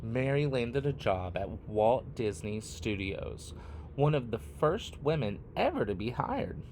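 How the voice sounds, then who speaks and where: A man reading a picture book aloud expressively, in short phrases with deliberate, dramatic pauses. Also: mid-range voice, big pitch swings, a little mellow, slight background noise. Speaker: read-aloud storyteller.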